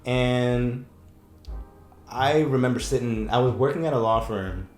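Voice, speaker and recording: A man, a breakup coach, talking casually on a podcast, telling stories with lots of restarts and quick laughs. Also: medium pitch, echoey room, faint music behind. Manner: animated storyteller, laughs often, rambling restarts.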